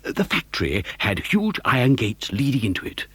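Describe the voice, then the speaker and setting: A man's voice: mid-range voice, big pitch swings, normal speed, a bit bright, slightly rough, no echo. An actor playing an exuberant, boastful chocolate maker who tells a children's story theatrically, savouring his words. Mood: relishing, dramatic. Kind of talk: dramatised children's story narration.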